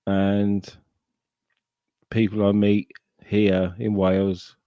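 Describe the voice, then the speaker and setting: A man's voice: on the low side, monotone, extremely slow, warm tone, no room sound. A middle-aged man from Sussex with an English accent, chatting casually and swearing on a live stream into a desk microphone. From England. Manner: sweary, rambling banter. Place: home, live stream call.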